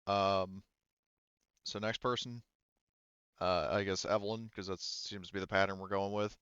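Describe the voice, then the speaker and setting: A man's voice: deep voice, steady tone, moderate pace, fairly bright tone, clear, resonant tone, no echo, muffled recording. A man casually running a tabletop roleplaying game as game master, explaining rules informally and thinking aloud.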